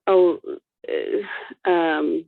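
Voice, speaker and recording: A woman in her thirties from Chicago, speaking reflectively on a video call, pausing often with ums. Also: fairly low pitch, fairly even intonation, creaky, a little hiss or hum. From Chicago, USA. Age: 30s. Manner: reflective, halting with frequent ums. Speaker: viewer joining a watch-along chat. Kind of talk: casual chat. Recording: tinny phone-like dry line.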